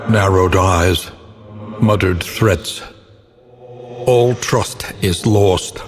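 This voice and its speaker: Very deep, slow, brooding gothic narrator. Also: male voice. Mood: grim, foreboding. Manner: solemn, ornate, theatrical delivery. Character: grave, brooding male narrator of a gothic horror game. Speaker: game narrator. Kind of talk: scripted dramatic video game narration. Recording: long cavernous reverb.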